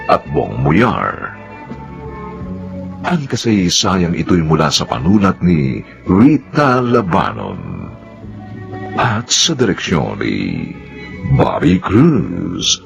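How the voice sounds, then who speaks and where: A male radio announcer with a low, gravelly voice. He reads formally and unhurriedly, with hugely varied intonation, in a scripted drama sign-off. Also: huge pitch swings, soft background music. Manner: formal, measured. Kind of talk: scripted closing credits announcement.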